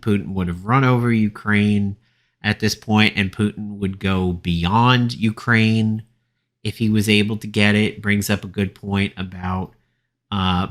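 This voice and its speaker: A man giving an informal, opinionated political recap as a commentator, talking from notes in a halting, dismissive way. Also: on the low side, fairly bright tone.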